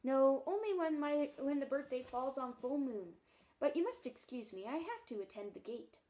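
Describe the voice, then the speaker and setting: A huge bear gatekeeper from a storybook, played by a woman reading aloud. He talks in a dry, wry, teasing way, and his voice sits raised and bright. He sounds playful rather than fierce. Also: high-pitched, expressive, moderate pace. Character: gruff large male bear gatekeeper.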